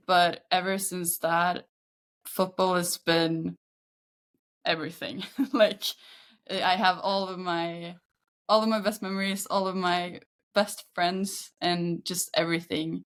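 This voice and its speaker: A woman in her thirties from Sweden with a Swedish accent, chatting casually as a guest in an interview. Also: on the low side. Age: 30s. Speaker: podcast guest, football agent.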